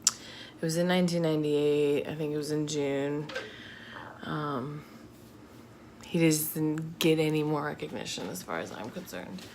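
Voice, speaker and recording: A woman telling true crime stories casually, in a halting, informal, chatty manner. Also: low-pitched, darkish tone. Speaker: host of a true crime video channel. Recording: faint background noise.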